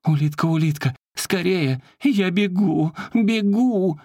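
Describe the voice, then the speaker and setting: A man's voice: sing-song, moderate pace, a little mellow, slightly rough, no room sound, studio quality, exaggerated voice. A man doing a frantic enchanted hairpin. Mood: panicked shrieking. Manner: chatty, then shrill and panicky. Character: enchanted man trapped in a hairpin, fussy and talkative.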